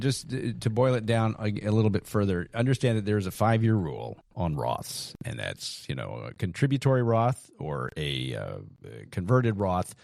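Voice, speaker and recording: A man hosting a financial talk show, speaking haltingly and often restarting his phrases. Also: on the low side, creaky, a little mellow, no echo, studio quality. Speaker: podcast host.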